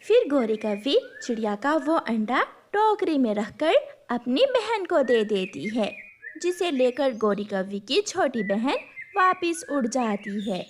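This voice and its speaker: Animated, high-voiced woman narrator. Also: slow, a little hiss or hum. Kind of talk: scripted children's story narration.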